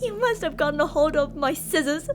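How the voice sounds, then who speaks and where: Woman acting a high-pitched, obsessive yandere captor. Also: animated, a little fast, soft background music. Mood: alarmed, menacing. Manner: sugary endearments, menacing, theatrical. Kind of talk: ASMR roleplay monologue.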